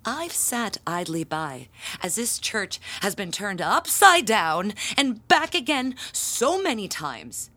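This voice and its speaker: A woman's voice: mid-range voice, sing-song, takes it slowly, bright, forceful tone, heavily acted. A woman acting in an audio drama as an aggrieved, pompous church handbell ringer, speaking in a theatrical, indignant manner. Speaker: parishioner in confession.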